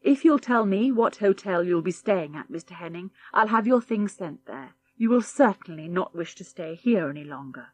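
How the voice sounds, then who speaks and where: A calm middle-aged farmer speaks in a level, unhurried way, read by a woman. Nothing rattles him. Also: fairly low pitch.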